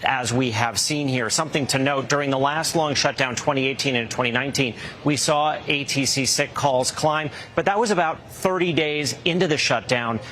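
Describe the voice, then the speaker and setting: A man's voice: medium pitch, normal speed, few pauses, a bit bright. Male news reporter, faint noise.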